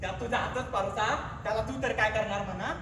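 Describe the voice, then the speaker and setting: A man's voice: sits high, fast, background noise. A man on stage playing a bitter, greedy village husband who berates and mocks his wife. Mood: bitter mocking. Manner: harsh, mocking, berating. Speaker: stage actor. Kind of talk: stage acting in a one-act play. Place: theatre stage. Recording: echoey hall, far mic, music.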